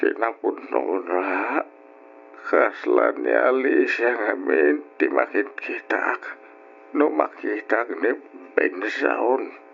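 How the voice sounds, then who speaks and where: A man's voice: mid-range voice, lively intonation, slow, gravelly. An actor playing a guilt-ridden, sorrowful father who pleads in an Ilocano radio drama. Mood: sorrowful, hesitant. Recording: dull, hissy old radio recording.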